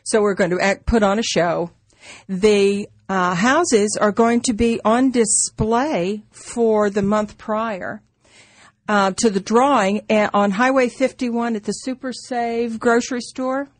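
A woman's voice: mid-range voice, fairly even intonation, takes it slowly, no echo. A woman co-hosting a radio show in casual, unscripted chat, with a teasing, interjecting manner. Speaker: radio co-host.

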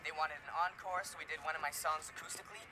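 A cocky young musician calling his sister, played by a young man. He is chatty and boastful and talks quickly. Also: on the high side, steady tone, over music, natural voice.

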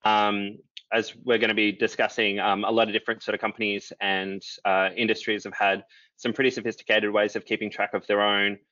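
A young man moderating a panel on a video call, relaxed and filling pauses with ums. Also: fairly low pitch, no room sound. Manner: relaxed, many "um" fillers. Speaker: moderator, company chief executive. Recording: dull lo-fi video call audio.

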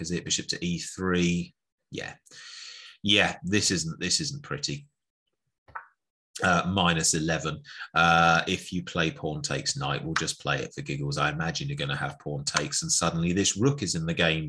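Deep, husky chess teacher. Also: male voice, pushed and bright. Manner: chatty and playful, calls out moves one by one. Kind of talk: chess lesson narration over an on-screen board.

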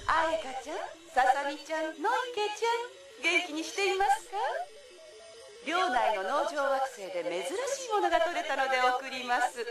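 A woman's voice: high-pitched, animated, moderate pace. Gracious, echoing elegant lady. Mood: gracious, affectionate. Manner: polite, formal, gracious. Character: elegant, playful grandmother reading her affectionate letter aloud. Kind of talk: anime radio drama acting. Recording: heavily reverberant voice over music.

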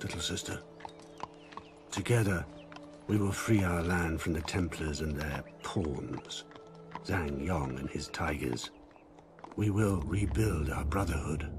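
An actor playing an older assassin master in a video game, a calm, patient mentor who speaks gravely and deliberately. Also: male voice, low-pitched. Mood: reassuring. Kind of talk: dramatic game cutscene dialogue.

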